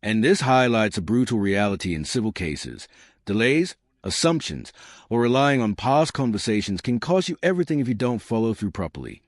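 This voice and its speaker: Lively, lowish-voiced male narrator. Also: a little fast, dry recording, studio quality. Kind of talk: scripted dramatic commentary.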